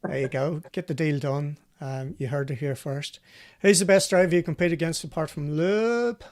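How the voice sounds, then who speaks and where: A man's voice: on the high side, moderate pace, a little mellow. A man hosting a casual live motorsport chat, telling anecdotes and bantering in a chatty way. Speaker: host of a live rally show; former professional co-driver.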